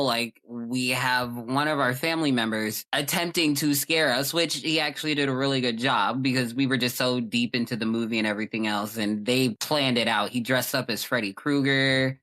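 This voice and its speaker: A man's voice: medium pitch, pushed and bright. A man co-hosting a podcast in casual chat, telling rambling anecdotes. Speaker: podcast co-host.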